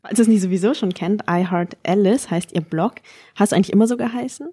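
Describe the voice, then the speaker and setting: A woman's voice: medium pitch, quick pace, warm tone, husky. A woman co-hosting a podcast, talking casually in an informal, colloquial way. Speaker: podcast co-host.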